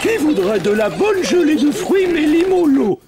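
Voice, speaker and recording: A woman's voice: high voice, very animated, measured pace. A woman voicing a jolly, unflappable roly-poly toy vendor in a children's cartoon, exclaiming jovially over music. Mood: jolly, surprised. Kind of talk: animated children's cartoon dubbing. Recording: loud music behind the voice.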